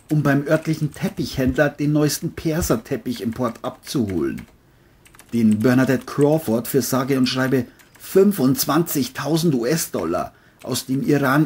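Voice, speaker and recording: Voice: medium pitch, a little mellow, husky. A narrator reading a scripted crime audio drama, telling the story between the characters' lines.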